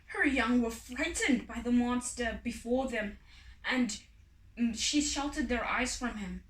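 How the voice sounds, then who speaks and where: A woman tells a folk tale in a slightly high voice. Her delivery is slow and measured. The room echoes around her and the mic is a bit distant. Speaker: story reader. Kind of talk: expressive folk tale reading.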